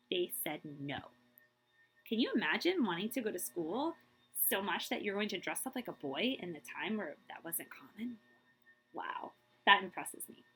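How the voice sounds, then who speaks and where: Expressive woman reading aloud, lively swooping pitch. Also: husky, rough recording. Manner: emphatic, drawing out key words for young listeners. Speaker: librarian reading aloud to children. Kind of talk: expressive picture-book read-aloud with brief asides.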